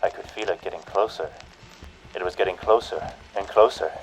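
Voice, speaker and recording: Brief: male actor as a cruel radio-play narrator; low voice, slow, menacing delivery. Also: lightly acted, poor audio. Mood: dread. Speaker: horror radio show narrator. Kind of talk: 1940s radio horror narration.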